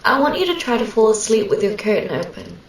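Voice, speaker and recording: A woman playing a lonely, clingy sleep paralysis demon in a scripted ASMR roleplay, speaking intimately and haltingly to a sleeper. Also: fairly high pitch, very animated, recorded from a distance, slight background noise. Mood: shy, hopeful. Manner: hesitant, halting, wistful, intimate. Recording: roomy echo, set back from mic.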